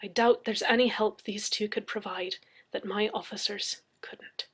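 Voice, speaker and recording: A stiff, sceptical male police detective from an audiobook mystery, played by a woman. He talks formally and quietly, with a dismissive, official manner. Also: medium pitch, fairly even intonation, measured pace, husky. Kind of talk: dramatic audiobook character reading.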